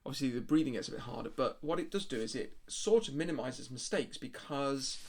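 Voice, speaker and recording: A man's voice: mid-range voice, expressive, a little fast. A middle-aged man talking casually and hesitantly through his screen as he works, rambling and correcting himself. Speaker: amateur home-recording musician and streamer. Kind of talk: casual screen-share walkthrough, thinking aloud. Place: small room at home, indoors.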